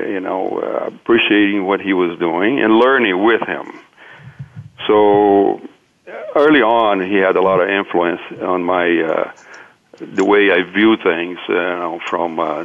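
A man from Brazil, a native Portuguese speaker with a Brazilian accent, giving an interview as a businessman in a halting, hesitant way. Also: sits low, gravelly. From Minas Gerais, Brazil. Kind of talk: interview conversation, recounting own career. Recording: tinny phone-like line.

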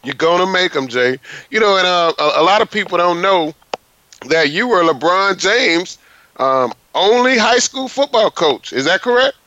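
A man's voice: high-pitched, animated, normal speed, bright, forceful tone, no room sound, lo-fi sound. A man in his fifties hosting a sports talk show, talking emphatically and repeating himself in casual conversation. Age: 50s. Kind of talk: casual radio interview.

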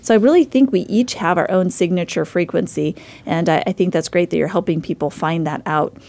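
A woman's voice: lowish voice, a little fast, gravelly, a little mellow. Upbeat woman radio host. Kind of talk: radio interview.

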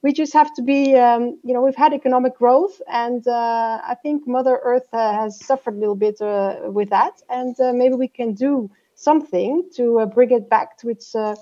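A businesswoman raised in Peru speaks in a fairly high, mellow voice over a muffled lo-fi line. She answers in a podcast interview, pausing often with hesitant fillers. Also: fairly even intonation. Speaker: guest, business executive.

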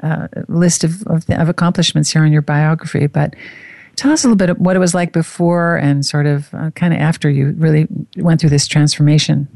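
A woman's voice: sits low, fairly even intonation, brisk, vocal fry, dark tone, dry recording. A woman hosting an interview show, talking in an effusive, gushing way as she introduces and chats with her guest. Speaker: show host.

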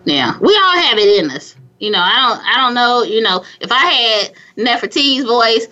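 A woman with a squeaky, fairly high voice that sounds bright and sharp, talking in a loose, energetic, motivational way. She laughs easily and restarts her sentences often. The recording is slightly muffled and lo-fi. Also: normal speed. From California. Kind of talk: casual motivational chat.

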